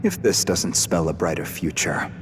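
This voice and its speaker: A man's voice: low-pitched, animated, moderate pace, slight background noise. An actor playing a ruthless, contemptuous man who speaks curtly and coldly. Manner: cold, contemptuous, curt. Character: cold, ruthless young man, demon-fighter. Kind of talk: game cutscene acting. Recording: reverberant mix with loud game music.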